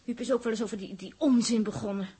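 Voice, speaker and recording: An actress playing an anxious, easily upset young space crew woman in a 1960s radio play, speaking haltingly and emotionally. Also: a little high, steady tone, mellow, husky. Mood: indignant. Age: young adult. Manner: hesitant, emotional, halting with repeated words.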